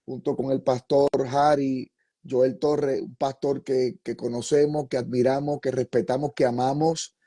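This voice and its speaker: A man's voice: medium pitch, little pitch movement, measured pace, mellow, breathy edge. A man who preaches fervently with repeated praise phrases, then hosts an interview as a pastor.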